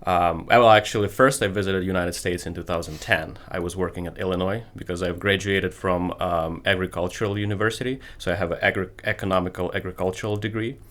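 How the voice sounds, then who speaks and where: This is a man from Ukraine in his thirties, talking in a casual interview. His English carries a Ukrainian accent and his voice sits very low. He stops and restarts a lot while he looks for the right words. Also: steady tone, fairly bright tone. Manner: halting, searching for words, many ums. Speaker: guest, computer business owner.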